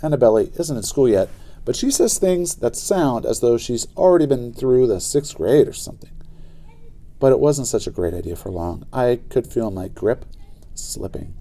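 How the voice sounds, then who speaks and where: A man narrating a children's story aloud in an expressive, dramatic way. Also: medium pitch, normal speed. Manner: animated, dramatic delivery.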